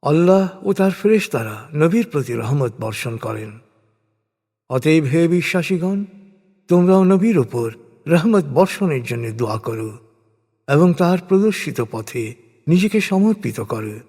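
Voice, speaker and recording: A man with a slightly high voice narrates religious verses from a script. His formal, measured delivery rises and falls noticeably in pitch, and a long hall-like reverb surrounds every phrase. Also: expressive, mellow, husky.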